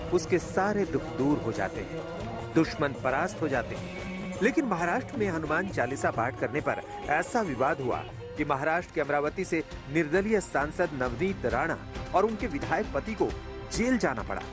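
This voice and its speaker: A man's voice: a little high, very animated, normal speed. A man narrating a scripted television news report as a voice-over. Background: prominent background music.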